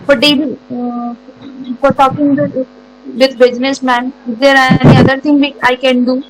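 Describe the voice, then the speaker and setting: Woman student from India, high voice, hesitant, asks questions on a video call. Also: level tone, slight background noise, rough recording. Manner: halting, searching for words. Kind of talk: questions in a spoken English class.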